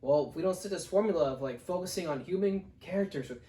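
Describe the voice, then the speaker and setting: A man chatting casually as co-host of a film review, giving blunt opinions in a halting, repetitive way. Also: sits high, dark tone, slight background noise. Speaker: co-host of a movie review show. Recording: echoey room.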